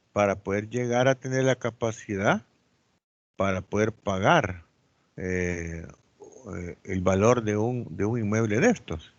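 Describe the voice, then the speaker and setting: A man's voice: medium pitch, no echo. An older man in his sixties with a Salvadoran accent, lecturing on economics and telling personal stories. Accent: Salvadoran Spanish. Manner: animated, tells personal stories, asks rhetorical questions. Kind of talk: university lecture with questions. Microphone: online class audio. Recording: dull lo-fi audio.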